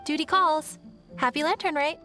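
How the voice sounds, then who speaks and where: A dutiful young townswoman played by a woman with a fairly high voice and a courteous, reassuring manner. It is fantasy game acting with clear music underneath. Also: fairly even intonation, moderate pace, natural voice.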